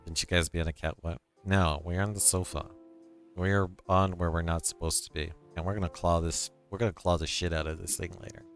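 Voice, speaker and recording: A man's voice: sits low, steady tone, a little fast, faint music behind. A man hosting a stream interview, joking playfully and asking questions between short replies. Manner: playful, jokey, brief replies.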